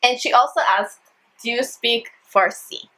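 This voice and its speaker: A woman, the host's younger sister, slowly reading viewers' questions from behind the camera with the odd teasing interjection. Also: on the high side, sharp tone, low-quality recording.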